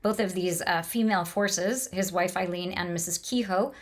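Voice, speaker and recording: A woman's voice: fairly low pitch, moderate pace. Enthusiastic woman giving an informal literature lecture. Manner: enthusiastic, discursive, explanatory. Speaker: lecturer and host of a literature podcast.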